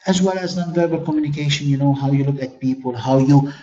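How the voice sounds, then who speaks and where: A man's voice: fairly high pitch, fast, dark tone, muffled recording. A man whose first language is Arabic, giving a webinar lecture on leadership as a corporate trainer, earnest and fond of rhetorical questions and repeated phrases.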